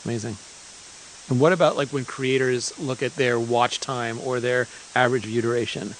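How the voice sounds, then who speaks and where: A man's voice: medium pitch, steady tone, normal speed, a little hiss or hum, rough recording. A man from Montreal interviewing in a casual, informal and enthusiastic way. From Montreal, Canada. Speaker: interviewer and creator liaison.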